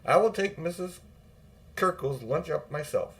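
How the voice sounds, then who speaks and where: A man voicing a tough, prim old aunt in a storybook, scolding and brisk. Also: fairly high pitch, lively intonation, a bit bright, voice pushed up. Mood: brisk, bossy.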